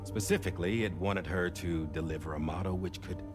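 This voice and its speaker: Low voiced guy acting as a calm theatrical boss in a game cutscene, measured delivery, music under it. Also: close to an everyday voice. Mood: grave, explaining. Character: calm, commanding male ex-director revealing a secret plan.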